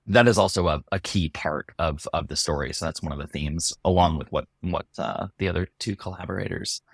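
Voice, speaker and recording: Male actor in interview, very low register, dry sound, halting conversational delivery. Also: creaky, slightly rough, no room sound. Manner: halting, thinking aloud. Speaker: actor interviewed about his show. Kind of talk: casual panel chat.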